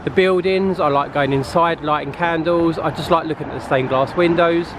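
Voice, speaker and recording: A man's voice: on the high side, steady tone, moderate pace. A man chatting casually on a travel vlog, outdoors on a city street and recorded on a compact camera mic, rambling and repeating himself a little. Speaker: travel vlogger. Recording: street traffic noise behind.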